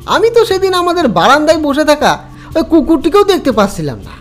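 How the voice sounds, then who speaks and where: Guy doing a chatty doubting village man, spooky audio story, highish n bouncy. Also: fairly quick, faint music behind, heavily acted. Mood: gossipy disbelief. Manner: animated, questioning. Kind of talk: Bengali horror audio story acting.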